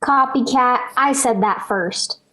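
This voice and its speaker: A woman's voice: fairly high pitch, slow, fairly bright tone. A female performer playing a dramatic, pleading, bratty little girl in a 1940s radio sitcom. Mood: bratty insistence. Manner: melodramatic, insistent, plaintive. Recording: vintage 1940s radio broadcast.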